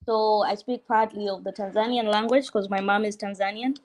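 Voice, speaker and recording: A woman's voice: medium pitch, steady tone, fairly quick, a little mellow. A woman from Malawi whose first language is Chichewa, chatting on a video call. She is chatty and forthcoming. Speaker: book club member. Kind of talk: casual chat on a video call.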